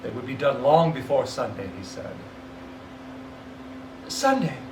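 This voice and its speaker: A man's voice: mid-range voice, fairly quick. Roomy, theatrical male storybook narrator. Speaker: story reader. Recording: roomy, distant mic, faint hiss.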